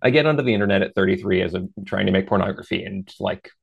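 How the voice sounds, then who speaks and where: A man in his thirties with a deep voice, a podcast guest talking things through in a reflective, measured way with lively asides. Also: creaky, husky, dull audio. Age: 30s. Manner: reflective and measured, lifts into animated quoted asides. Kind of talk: casual interview chat.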